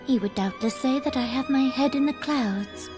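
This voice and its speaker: An actress playing a small, earnest young female ruler in a fantasy game, speaking in measured, formal phrases. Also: high-pitched, music in the background, natural voice. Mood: grave, resolute. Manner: regal, measured, archaic phrasing.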